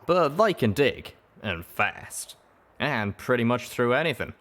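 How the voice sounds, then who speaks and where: A man's voice: medium pitch, very animated, measured pace, a bit bright, voice pushed up. A man voicing a plainspoken, wary pegasus cowboy in an audiobook, with a colloquial drawl. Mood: warning. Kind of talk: audiobook character dialogue.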